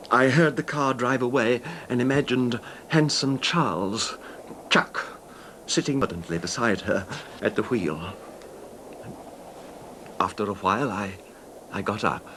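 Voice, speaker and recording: An actor playing a controlled, wronged husband calmly confessing his revenge in a 1970s radio drama, measured and understated, with slight hesitations. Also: mid-range voice, a little hiss or hum. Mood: quietly sly.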